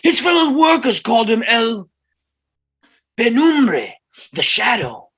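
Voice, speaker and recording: Showy, husky circus ringmaster, acted by a man. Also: very high-pitched, huge pitch swings, slow pace, fairly bright tone, exaggerated voice. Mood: showy, grand. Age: middle-aged. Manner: grandiose, showy. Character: bombastic fifty-year-old circus ringmaster, small but titanic. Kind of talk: theatrical circus barker patter in a script table read.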